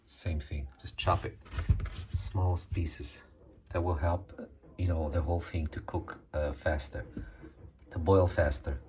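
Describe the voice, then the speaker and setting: A deep-voiced man walks viewers through a recipe in a relaxed home-kitchen chat. His English comes with frequent ums and self-checks as he searches for words. A faint background noise sits under the voice. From Morocco. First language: Amazigh. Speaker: cooking video host. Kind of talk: casual cooking demonstration.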